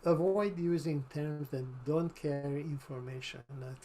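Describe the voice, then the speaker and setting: An older man with a Hungarian accent, giving a webinar lecture over slides in a halting way, with repeated words and fillers. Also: fairly high pitch, fairly even intonation, mellow, a little hiss or hum. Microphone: video call.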